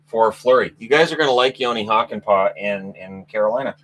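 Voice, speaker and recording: A man's voice: on the low side, fairly quick, a bit bright, poor audio. A Canadian man hosting a casual live chat about hockey news, rambling and self-mocking. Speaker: hockey channel host.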